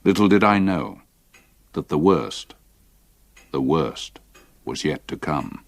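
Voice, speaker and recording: An actor playing an older English solicitor who gravely and regretfully recalls a haunted past in measured, brooding radio-drama narration. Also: male voice, rumbling low, animated, dry recording, full character voice. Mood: haunted foreboding. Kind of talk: dramatised first-person narration of a ghost story.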